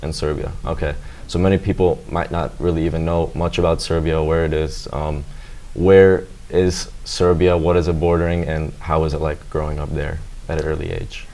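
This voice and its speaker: A teenage boy with an extremely deep, low voice interviews a guest as a student host. His questions ramble along, padded with "um" and "you know" as he works his way toward each point. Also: level tone, moderate pace, mellow. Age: teens.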